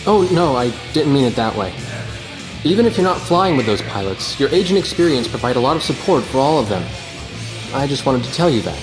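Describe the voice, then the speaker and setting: A man's voice: medium pitch, very animated, a little fast, natural voice, low-quality recording. An earnest young war journalist voiced by a man speaks in a mid-range voice. His delivery is reflective and scripted, like game narration, with music playing behind him.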